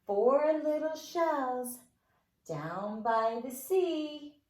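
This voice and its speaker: A woman's voice: sits high, animated, extremely slow, a little mellow. A woman nursery school teacher leading children's circle time with rhymes and finger plays in a playful, encouraging, sing-song way. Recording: roomy, echoing indoor sound.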